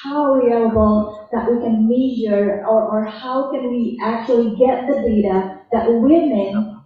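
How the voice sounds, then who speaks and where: A woman from Indonesia moderates an academic panel and asks a question in an informal way. Her voice is somewhat high and mellow. It sounds dull and distant, with heavy echo from a large function hall. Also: unhurried. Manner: informal, uses "like" and "right?".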